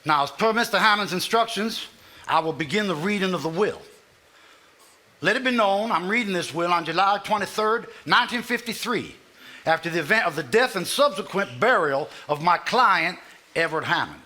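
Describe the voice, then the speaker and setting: A man with a Southern US accent playing a formal, measured family lawyer reading a will aloud in a live stage drama. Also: high voice, animated, bright, forceful tone, exaggerated voice. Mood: solemn, formal. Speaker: stage actor.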